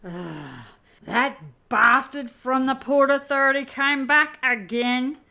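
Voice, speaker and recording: Exasperated, high-pitched, slow-talking space co-pilot, a woman acting. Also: vocal fry, pushed and bright, heavily acted. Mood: exasperated, angry. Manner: grumbling, exasperated, swearing. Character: gruff, cigar-smoking female co-pilot in her fifties. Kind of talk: amateur audio drama acting.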